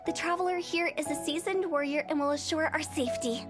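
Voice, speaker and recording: A very high, girlish voice over prominent music: a woman acting a mischievous young funeral director from a video game. She is playful and theatrical, talking like a teasing show-woman. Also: a little fast, natural voice. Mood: breezy, confident. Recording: music behind the voice.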